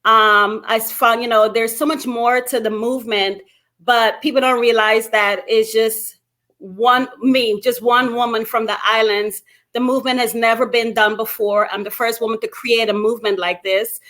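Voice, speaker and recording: Middle-aged female activist as interview guest, raised in Guyana and Brooklyn. High-pitched, emphatic, conversational. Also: steady tone, moderate pace, fairly bright tone. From Guyana and Brooklyn, New York. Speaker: interview guest, anti-gun violence activist. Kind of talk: conversational personal storytelling.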